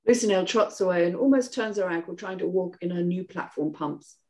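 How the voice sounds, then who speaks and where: A woman's voice: lowish voice, a little fast, a little mellow. A woman reading scripted stage directions as narrator in a screenplay table read. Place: indoor room at home, on a video call.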